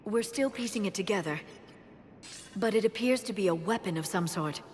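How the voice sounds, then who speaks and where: A scholarly, earnest alien researcher of a sci-fi game played by a woman. Her pitch is average. Her words echo in a big chamber with faint ambience. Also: moderate pace, a little mellow, slight background noise, lightly acted. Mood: earnest, measured. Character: earnest, scholarly young-sounding female alien scientist.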